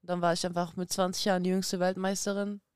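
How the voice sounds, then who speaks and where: A woman in her thirties, a former boxing champion guest, talking in a lively, chatty way with many fillers in a casual podcast interview. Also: on the low side, quick pace, clean tone, dry recording, studio quality. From Kazakhstan, raised in Germany.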